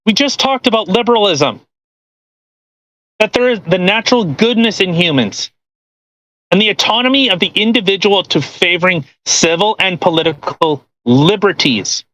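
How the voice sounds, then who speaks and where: A podcast co-host with a medium-pitched voice talks casually and reads aloud in between. The delivery is crude and mocking, with plenty of swearing thrown into the commentary. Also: expressive, normal speed, a bit bright, no room sound, poor audio. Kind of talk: casual commentary while reading a post aloud.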